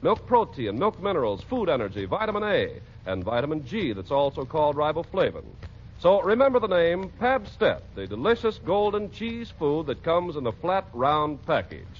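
An American male announcer delivers polished 1940s radio copy with emphatic salesmanship. His fairly high voice rises and falls dramatically. The old broadcast sounds muffled, with music behind it. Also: slight background noise.

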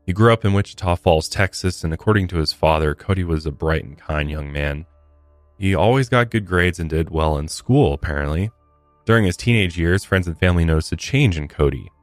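A man's voice: sits low, no room sound. A man co-hosting a true crime podcast, recounting case details in a casual, conversational way with blunt asides.

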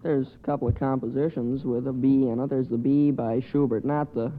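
A man's voice: mid-range voice, flat delivery, fairly quick. Bookish male quiz contestant, tinny noisy old radio. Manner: thoughtful, bookish, begins answers with "well". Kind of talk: answering quiz questions.

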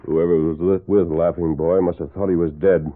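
Western hero, male actor, resolute and authoritative, low voice, muffled vintage radio recording. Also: steady tone, moderate pace, lightly acted. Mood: grave, resolute. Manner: authoritative, decisive. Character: resolute, upright masked cowboy hero. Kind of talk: old-time radio western acting.

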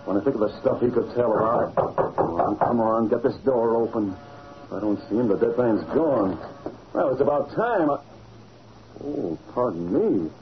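Man playing a cocky American reporter, very animated. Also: mid-range voice, moderate pace, close to an everyday voice. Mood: impatient, wary. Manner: slangy, punchy, excitable. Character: cocky, wisecracking newspaper reporter, adult man. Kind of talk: 1940s American radio drama acting. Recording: muffled, noisy old radio broadcast recording.

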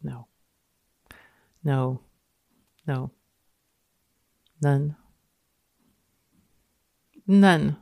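A woman's voice: low-pitched, drawn-out words, gravelly, pauses often, dark tone. A woman speaking as a guest on a science podcast, moving between casual chat and reading aloud from a paper.

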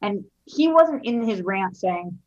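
A woman from Boston in casual conversation as a co-host, talking loudly and passionately and swearing freely. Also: mid-range voice, lively intonation, slow, lo-fi sound. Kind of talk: casual chat.

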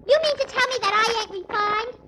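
A woman's voice: very high-pitched, steady tone, brisk. An actress playing a brash, vain, uncultured young woman, indignant and ungrammatical in 1940s radio comedy style. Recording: noisy vintage radio recording.

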